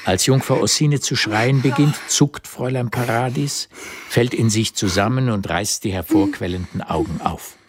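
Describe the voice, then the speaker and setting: A man's voice: medium pitch, normal speed, noisy background. A man reading literary scripted narration as the narrator of a German radio drama.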